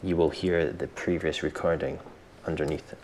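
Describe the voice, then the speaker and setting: A man presenting a casual software tutorial, thinking aloud in an informal, self-deprecating way, with some background noise in the recording. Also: extremely low pitch, steady tone, moderate pace.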